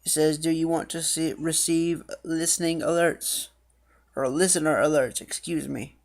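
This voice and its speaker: A woman's voice: deep voice, fairly even intonation, normal speed, darkish tone. A woman giving a casual tutorial walkthrough, reading screen text aloud and then explaining it. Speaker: app reviewer and demonstrator.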